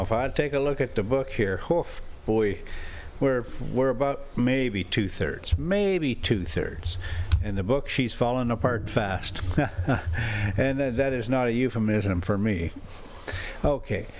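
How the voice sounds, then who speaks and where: A man talks in a relaxed, rambling vlog style with a folksy manner. His pace is slow and his pitch moves around in a lively way. Clear noise sits behind his voice. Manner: folksy, chuckling, self-interrupting. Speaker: vlog host reading a novel aloud. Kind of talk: casual rambling chat and story reading.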